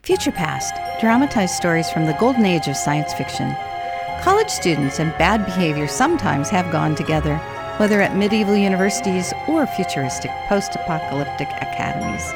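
Animated woman announcer over loud music. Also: on the low side, brisk. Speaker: announcer, narrator. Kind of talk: scripted radio drama introduction.

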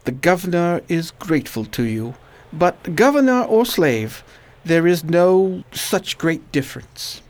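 Weak plague patient, weary, pained, radio play. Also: male voice, a little high, sing-song, a little slow, darkish tone. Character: sick weary man recovering from plague. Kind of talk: radio drama acting.

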